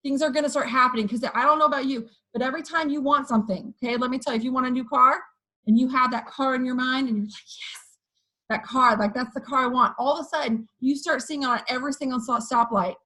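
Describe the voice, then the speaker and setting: A woman with a hoarse voice giving an energetic motivational pep talk to her sales team, often checking in with "okay" and "you guys". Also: high-pitched, fast, rough recording. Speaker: direct sales team leader.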